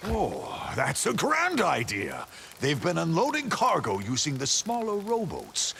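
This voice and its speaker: An actor voicing a chivalrous, pompous but bumbling good-natured knight in a video game, with florid, theatrical speech and flustered hesitations. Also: male voice, fairly high pitch, wildly swooping pitch, unhurried, gravelly, pushed and bright, slight background noise, exaggerated voice. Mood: eager.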